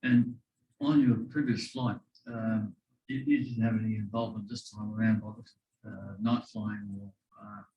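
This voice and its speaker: An Australian man asking an aviation guest technical questions, soft-spoken and hesitant with many "uh" and "um". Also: lowish voice, level tone, moderate pace, lo-fi sound. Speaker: audience questioner.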